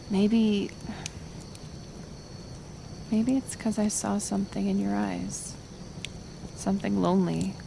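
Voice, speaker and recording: A woman playing a kind, stubborn, lonely half-elf healer in an intimate ASMR roleplay, speaking softly and hesitantly with soothing pauses. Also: lowish voice, creaky, noisy background, exaggerated voice.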